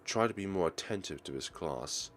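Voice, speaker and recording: A man voicing a stern, condescending male schoolteacher in a visual novel, scolding slowly. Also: low-pitched, lively intonation, fairly bright tone, slight background noise, voice pushed down. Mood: cold, scornful.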